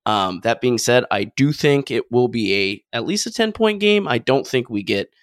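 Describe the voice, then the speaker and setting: A man co-hosting a casual sports podcast, giving a measured take with hesitant fillers. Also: mid-range voice, expressive, no echo, studio quality. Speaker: podcast co-host.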